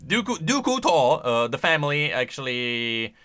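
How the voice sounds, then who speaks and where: A man's voice: mid-range voice, big pitch swings, moderate pace, sharp tone, smooth. A man giving casual, semi-improvised video commentary, thinking aloud with emphatic delivery. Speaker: YouTube lore commentator.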